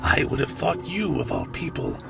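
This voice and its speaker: Casting: ambitious, insinuating male vampire schemer for audio drama man acting, very creaky voice, swinging intonation. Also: fairly high pitch, wildly swooping pitch, moderate pace, over music, exaggerated voice. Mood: persuasive, condescending.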